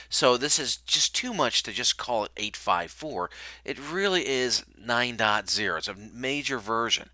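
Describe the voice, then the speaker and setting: A man's voice: lowish voice, pushed and bright, no room sound. A man hosting a show, talking casually and jokingly over slides. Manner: informal, joking. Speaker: show host. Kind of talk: casual talk over slides, introducing a guest.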